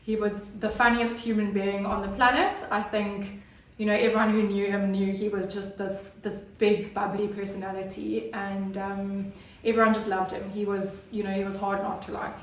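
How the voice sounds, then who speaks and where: A woman speaks earnestly, pausing often on fillers. Her voice sits at an ordinary pitch for a woman and sounds a little distant from the mic. The room is very echoey. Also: little pitch movement. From Zimbabwe. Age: 30s. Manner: earnest, hesitant, many fillers. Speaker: guest. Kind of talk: personal story in an interview.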